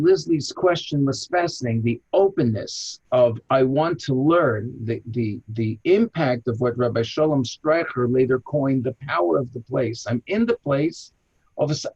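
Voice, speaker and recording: An American man from Chicago, a rabbi and archaeologist, answering interview questions with animated, anecdotal storytelling. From Chicago, USA. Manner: animated anecdotal storyteller, lively jumps in pitch. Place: home in an old city, remote call. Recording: muffled, husky lo-fi line.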